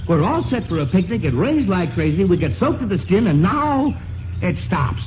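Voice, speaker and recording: Blustering American family man, middle-aged, high-pitched and animated, vintage radio sitcom with dull, noisy sound. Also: moderate pace, soft background music. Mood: grumbling. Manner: grumbling, sarcastic, easily flustered. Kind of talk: 1940s-50s radio comedy acting. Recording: muffled, noisy old radio recording.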